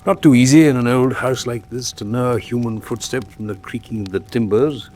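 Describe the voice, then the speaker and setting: An actor playing an earnest, gentlemanly English detective's companion in a radio drama, with formal, measured diction. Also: male voice, mid-range voice, fairly even intonation, close to an everyday voice. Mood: sober, reporting. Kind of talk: radio drama acting, reading letters aloud.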